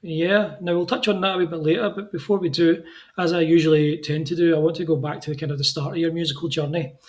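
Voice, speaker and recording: A man's voice: fairly high pitch, steady tone, quick pace. A man hosting a music podcast, chatty and self-deprecating as he introduces his guest. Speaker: podcast host. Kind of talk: casual interview chat.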